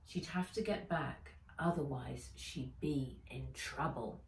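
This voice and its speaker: A woman author reading her children's book aloud as an expressive storyteller, with deliberate dramatic emphasis. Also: rumbling low, creaky. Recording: roomy echo, distant mic.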